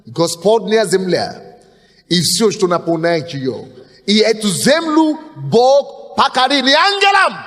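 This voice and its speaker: A man in his thirties preaching a sermon from the pulpit, insistent and emphatic, often restarting words. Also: on the high side. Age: 30s. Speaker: pastor. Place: church hall, at the pulpit. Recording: long hall reverb.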